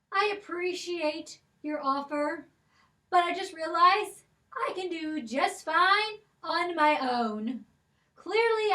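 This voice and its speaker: A woman's voice: very high voice, sharp tone, recorded from a distance, full character voice. A woman voicing a brave, clever, independent girl in a children's puppet show, spirited and sassy. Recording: voice from off the mic.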